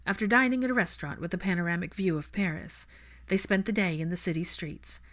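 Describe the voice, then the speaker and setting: Low-pitched woman narrating fiction. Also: expressive, quick pace, a bit bright. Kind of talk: scripted story narration.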